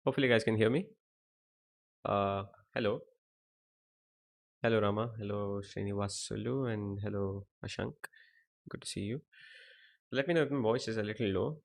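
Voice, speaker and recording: A man's voice: on the low side, moderate pace, creaky, pauses often. A young man with an Indian English accent teaching chess on a livestream, explaining positions, asking viewers questions and greeting the chat by name. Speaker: chess coach. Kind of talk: live-streamed chess lesson.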